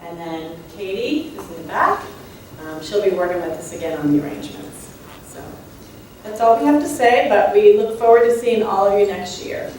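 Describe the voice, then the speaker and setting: A woman's voice: mid-range voice, animated, moderate pace, darkish tone. Informal woman announcer in a very echoey room. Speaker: conference co-chair. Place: conference room. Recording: very echoey room, distant mic, noise.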